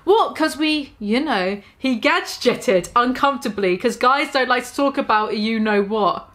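A woman's voice: a little high, measured pace, fairly bright tone. A woman in her twenties giving an exaggerated, sarcastic mock-dramatic reading of fan fiction, recorded in a small room. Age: 20s. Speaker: vlogger reading aloud.

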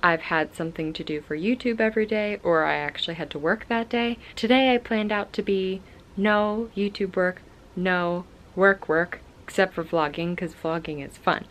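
A woman's voice: lowish voice, fairly even intonation, normal speed, a bit bright, low-quality recording. A woman in her twenties chatting casually and enthusiastically as a book vlogger, recorded on a camera at home. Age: 20s. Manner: enthusiastic, informal. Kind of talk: casual vlog chat. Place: at home, mostly in a bedroom.

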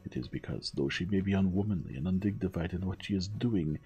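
Male narrator doing a courteous, relentless Belgian detective, dark low tone. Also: breathy edge, lo-fi sound, faint music behind. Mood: probing. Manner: measured, courteous, relentlessly probing. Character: shrewd elderly male Belgian detective, polite but probing.

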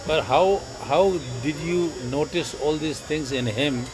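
A man's voice: a little high, a little slow, crowd noise. A man at an indoor market booth who questions and explains points in a casual debate. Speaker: booth volunteer. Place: large indoor flea market hall. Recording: busy crowded hall behind.

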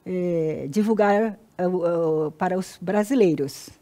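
Halting older Brazilian woman, mellow voice. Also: on the low side. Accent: Brazilian Portuguese. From Paraná, Brazil. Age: 60s. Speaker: interview guest. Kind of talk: personal life story in an interview. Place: indoor TV-style studio.

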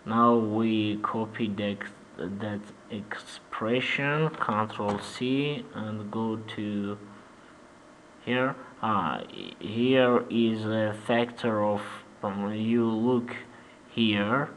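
A man from Armenia with an Armenian accent, guiding a software tutorial in halting, simple English with many "uh-huh" fillers. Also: lowish voice, fairly even intonation, slight background noise. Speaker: 3D animation tutor. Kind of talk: screen-recorded software tutorial.

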